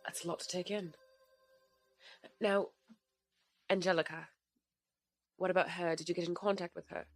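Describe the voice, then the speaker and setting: An actress playing a tentative, inquisitive interviewer from a future society in a fiction podcast. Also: female voice, fairly low pitch, fairly even intonation, normal speed, soft background music. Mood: curious.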